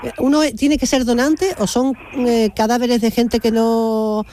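Mellow-voiced woman radio host. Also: on the high side, steady tone, unhurried, a little hiss or hum. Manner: inquisitive, informal, hesitant phrasing. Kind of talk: casual live phone interview.

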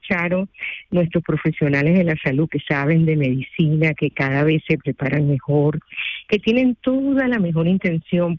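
A woman's voice: deep voice, dry recording. Venezuelan woman on a muffled phone line. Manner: articulate and formal, explains at length with "este" fillers. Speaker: guest, lawyer and medical risk consultant. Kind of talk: phone-in radio interview. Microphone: telephone.

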